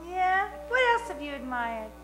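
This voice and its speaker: A woman's voice: high voice, very animated, unhurried, noisy background. A woman playing a goofy, perky, eager detective's assistant in a comic radio play. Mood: fond. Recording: echoey hall with music behind.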